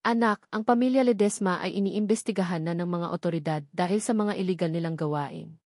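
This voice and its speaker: A woman voicing a loving older father writing a reassuring letter to his daughter, read in an even, measured way. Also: fairly low pitch, dry recording, studio quality.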